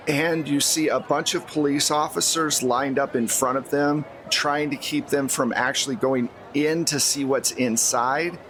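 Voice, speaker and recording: A man's voice: mid-range voice, a bit bright, a little hiss or hum. A man running a tabletop roleplaying game, narrating and explaining in a conversational, improvised way. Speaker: game master.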